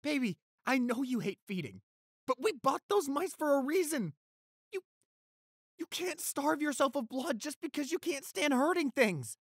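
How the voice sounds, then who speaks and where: A young man acting an anxious, devoted boyfriend in a roleplay audio, halting and stammering as he pleads. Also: very high-pitched, sing-song, no echo, clean studio sound, exaggerated voice. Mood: dismayed.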